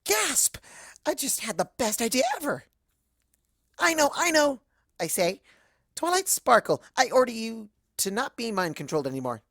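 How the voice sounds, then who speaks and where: A woman acts out a hyper, cheerful cartoon pony telling a rambling, gossipy story. The delivery is exclamatory and swings widely in pitch. The sound is dry, with hardly any room. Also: very animated, normal speed, gravelly, fairly bright tone, no echo, heavily acted. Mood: excited. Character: hyper, scatterbrained, cheerful young female cartoon pony narrator. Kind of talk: first-person comedic fan fiction narration.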